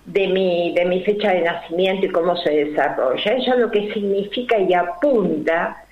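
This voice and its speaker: An older woman with a Rioplatense Spanish accent, a numerology guest explaining her ideas slowly and deliberately, full of fillers and rhetorical questions. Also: fairly low pitch. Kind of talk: explanatory interview talk. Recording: roomy, dull lo-fi recording.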